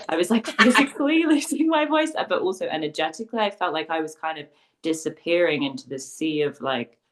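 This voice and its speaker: Low-voiced woman, soft and reflective. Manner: soft, reflective, with small laughs. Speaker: sound healer and co-host. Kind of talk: personal story in a live online talk.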